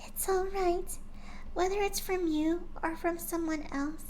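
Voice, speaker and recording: A woman voicing a shy, gentle young female pegasus pony who speaks softly. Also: very high-pitched, fairly even intonation, a little slow, a little hiss or hum, pitched above the performer's own voice, rough recording. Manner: soft, quiet and gentle.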